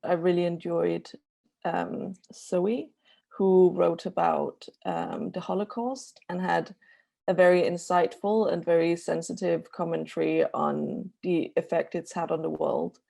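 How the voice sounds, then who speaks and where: Low, gravelly young Danish woman. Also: steady tone, unhurried, dark tone. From Denmark. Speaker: student poetry judge. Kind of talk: casual interview answers.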